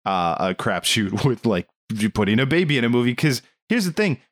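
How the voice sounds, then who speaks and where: A man talking casually as a podcast host, rambling along in an animated, amused way. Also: medium pitch, a little fast. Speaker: film discussion podcast host. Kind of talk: casual movie review chat.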